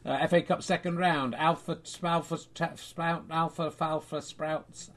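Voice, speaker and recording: A man whose speaking voice sits a little higher than most men's. He delivers his comedy patter in a dry, deadpan way and keeps wandering off on rambling asides as he reads. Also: measured pace. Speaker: comedy podcast host. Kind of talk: mock football results reading and chat.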